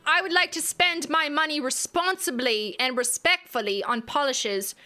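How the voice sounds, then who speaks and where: Bright, high-voiced young woman livestreaming. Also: moderate pace, soft background music. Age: young adult. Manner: playful, teasing, laughs often. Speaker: livestream host. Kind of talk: casual livestream chat. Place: home streaming room, indoors. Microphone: studio mic on boom arm.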